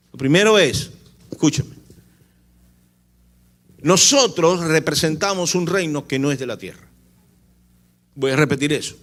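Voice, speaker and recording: Guy preaching w a barranquilla colombian accent, kinda high voice, repeats stuff and keeps asking the congregation questions. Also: fairly bright tone. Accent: Colombian Caribbean (Barranquilla). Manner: emphatic, repeats points, asks the listeners rhetorical questions. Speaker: preacher, apostle. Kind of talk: sermon, teaching a congregation.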